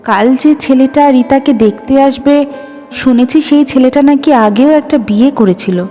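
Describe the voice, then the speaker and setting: A woman's voice: high voice, measured pace, warm tone. A woman voicing a timid, worried mother in an audio story. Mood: fearful, worried. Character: timid, worried Bengali mother pleading for her daughter. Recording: long reverb with music behind.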